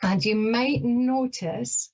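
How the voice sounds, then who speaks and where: A woman's voice: a little high, takes it slowly. A middle-aged woman with an Ayrshire Scottish accent hosting a live radio show, chatting nervously with lots of fillers. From Scotland. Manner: nervous, warm-hearted rambling with many fillers. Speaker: radio show host, psychic. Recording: dull lo-fi broadcast sound.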